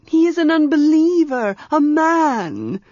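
A woman voicing an old, fussing male servant who speaks plaintively and slowly in an audiobook. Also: high voice, big pitch swings, darkish tone, no room sound, dull audio, pitched above the performer's own voice, heavily acted. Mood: fretful. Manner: plaintive, slow and wheezy. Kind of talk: audiobook character voice.

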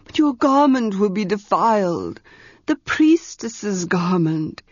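A woman voicing an old, fussing male servant who speaks plaintively and slowly in an audiobook. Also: medium pitch, big pitch swings, a little mellow, no echo, highs cut off. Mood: fretful. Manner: plaintive, slow and wheezy. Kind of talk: audiobook character voice.

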